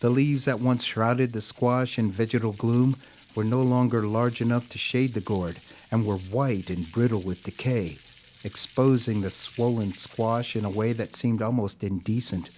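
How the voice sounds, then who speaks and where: A man narrating a scripted audio drama as a measured, literary storyteller. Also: mid-range voice, fairly even intonation, a little mellow, a little hiss or hum, poor audio.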